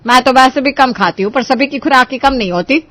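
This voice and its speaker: A woman's voice: high voice, a little fast, a bit bright, no echo. A woman playing a bossy, complaining, food-loving middle-aged mother-in-law in a story. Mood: grumbling.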